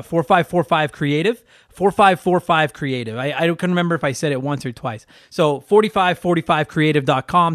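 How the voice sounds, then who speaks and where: A man's voice: on the high side, no room sound, clean studio sound. A man hosting a retro gaming podcast, talking casually with a stuffed-up nose, rambling and swearing with self-deprecating jokes. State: stuffed up from allergies. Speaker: podcast host.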